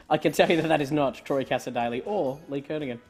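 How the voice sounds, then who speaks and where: A man's voice: a little high, fast, faint music behind. A man born in Scotland hosting a live online quiz, joking with his co-host and laughing often. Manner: jokey, laughing often, trailing off quietly.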